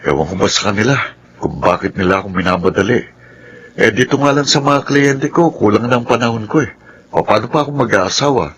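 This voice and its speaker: Low-voiced lawyer in radio drama. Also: male voice, lively intonation, brisk, a bit bright, husky. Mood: exasperated. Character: 32-year-old bachelor lawyer, elder brother, calm but pressured. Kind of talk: Filipino radio drama acting, partly first-person narration.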